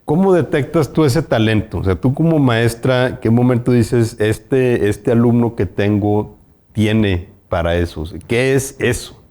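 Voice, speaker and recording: A man's voice: mid-range voice, measured pace, a little mellow. A man hosting an interview, asking long winding questions with fillers. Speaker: interview host.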